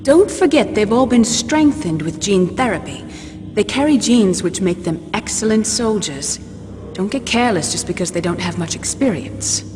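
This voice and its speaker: A woman's voice: medium pitch, expressive, moderate pace, natural voice. An actress playing a composed, matter-of-fact female scientist who explains precisely in a video game briefing. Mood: composed, explanatory. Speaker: scientific expert in a military briefing. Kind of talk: dramatic video game voice acting. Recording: long reverb, music behind. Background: music.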